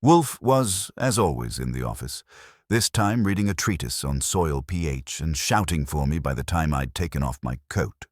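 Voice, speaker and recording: A very deep-voiced man narrates a detective story with wry, dry humour. His pitch moves in lively swings, and the recording is dry and close. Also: normal speed, no echo. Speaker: audiobook narrator.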